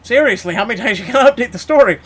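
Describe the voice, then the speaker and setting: A man's voice: extremely high pitch, animated, racing, fairly bright tone. A man playing a horror game, reading its text aloud theatrically and adding sarcastic asides. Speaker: let's player.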